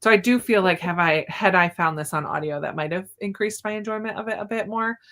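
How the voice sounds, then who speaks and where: A woman's voice: mid-range voice, fairly quick, fairly bright tone. A woman hosting a casual book discussion, talking in a chatty, informal way. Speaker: host of a book channel readalong.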